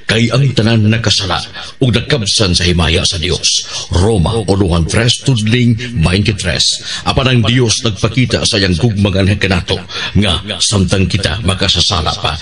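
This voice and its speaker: A man's voice: low-pitched, normal speed, a crowd in the background. A man with a Bisaya Filipino accent reading scripture solemnly and declamatorily as a radio drama narrator. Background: music.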